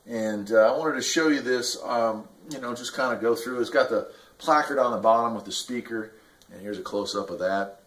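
A man in his forties from the United States, talking casually to camera as he reviews gear in a small workshop. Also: medium pitch. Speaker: vlogger reviewing radio gear. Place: small indoor radio workshop.

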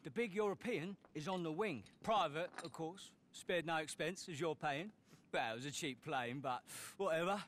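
Cocky high-voiced British chancer acted by a man. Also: moderate pace, a bit bright, a little hiss or hum, close to an everyday voice. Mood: breezy, spaced-out. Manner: mocking, matey. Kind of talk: video game cutscene acting.

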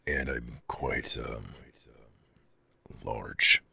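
A man voicing a huge, shy but proud dragon in an ASMR roleplay, with a growling, raspy voice and hesitant, stammering delivery. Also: fairly even intonation, drawn-out words, pushed and bright, no echo, heavily acted. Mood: shy, awkward. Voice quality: very deep, gravelly growl with breathy rasp.

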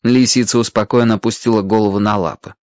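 A man narrating a scripted children's story in a measured, expressive way. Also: on the low side, no room sound.

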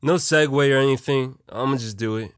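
A man's voice: medium pitch, fairly quick, smooth. A young man narrating a casual, opinionated video essay in a slangy, profane and irreverent manner. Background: music.

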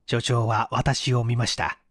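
A man narrating a mystery story as an audiobook reader, in an expressive, measured storytelling manner on a close microphone. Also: mid-range voice, husky, dry recording. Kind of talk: scripted literary narration, mystery story reading.

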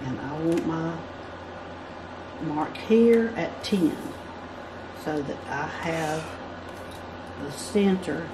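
Low-voiced woman explaining a drawing step by step. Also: lively intonation, drawn-out words, pauses often, noisy background. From United States. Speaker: craft instructor. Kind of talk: drawing tutorial. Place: indoors at a work table.

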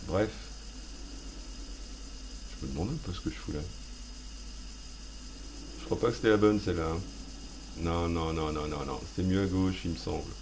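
Deep-voiced guy rambling and joking at himself over gameplay, game noise behind. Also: moderate pace, pauses often. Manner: self-mocking, rambling, playful asides. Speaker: gaming video host. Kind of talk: joking let's play commentary.

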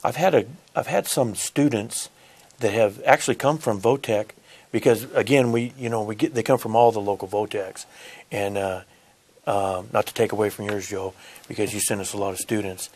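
A man's voice: fairly low pitch, steady tone, normal speed, darkish tone, slightly rough. A middle-aged man, a union apprenticeship coordinator answering in a studio interview, earnest and deferential on a lapel mic. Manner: earnest, deferential, says "yes, sir".

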